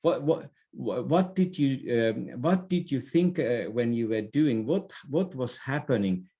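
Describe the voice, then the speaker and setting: Older man, unhurried pace, mid pitch, thoughtful conversational answers. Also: animated, mellow. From Finland. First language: Finnish. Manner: thoughtful, repeats words as he searches for phrasing. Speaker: interview guest, business leader and former theatre director.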